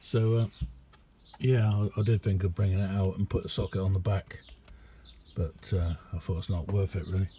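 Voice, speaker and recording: A middle-aged man talks in a very mellow, fairly even voice. He rambles casually and modestly, like a hobbyist showing something on camera. There is faint noise behind him in a small indoor room. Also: low-pitched, moderate pace. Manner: rambling, understated, self-deprecating. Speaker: amateur radio hobbyist showing his kit. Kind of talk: casual hands-on video chat.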